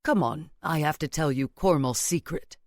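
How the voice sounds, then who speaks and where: A woman voicing a stern mother-in-law in an audiobook, coolly angry, calm and measured. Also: low voice, dry recording, clean studio sound, natural voice. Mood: cool warning. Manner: cool, calm, measured, menacing.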